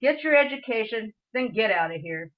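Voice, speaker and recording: Woman playing gentle older father, higher-pitched voice. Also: animated, normal speed, lightly acted. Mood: earnest, resigned. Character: gentle, earnest older father, small-town businessman. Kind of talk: community theatre radio play acting. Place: remote video call. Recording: dull lo-fi video call audio.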